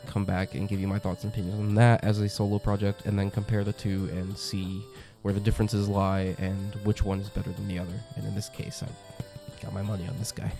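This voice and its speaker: A man's voice: on the low side, little pitch movement, fairly quick, vocal fry, hardly any pauses, dark tone, faint music behind. A young man hosting a comic review channel, congested from a cold, talking in a chatty, enthusiastic way with lots of ums and uhs. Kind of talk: casual review monologue.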